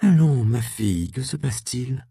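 A man's voice: medium pitch, huge pitch swings, moderate pace, creaky, darkish tone, no echo, clean studio sound, voice pushed up, full character voice. A male audiobook reader voicing a middle-aged mother who speaks in a soothing yet firm way. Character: middle-aged mother, tender but firm cloth merchant.

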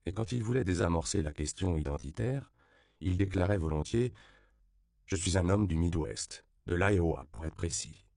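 Male audiobook narrator, very low and gravelly, dark mellow tone, dry close recording. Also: no room sound. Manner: calm, measured reading.

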